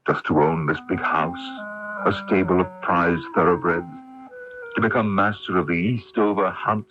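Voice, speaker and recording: A man's voice: deep voice, steady tone, normal speed, over music, close to an everyday voice. An actor playing a brooding, sardonic middle-aged self-made husband and father in a radio drama. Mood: bitter brooding. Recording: muffled early-1980s AM radio broadcast.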